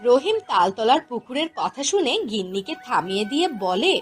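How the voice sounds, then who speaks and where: Woman narrator, scripted fairy tale, high pitch, animated delivery, clear music bed. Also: moderate pace. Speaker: storyteller narrator.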